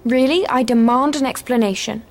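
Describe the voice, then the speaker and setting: A woman's voice: on the high side, moderate pace, close to an everyday voice. A woman playing an inquisitive, insistent young girl adventurer in a video game, proper and questioning in manner. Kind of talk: video game cutscene acting.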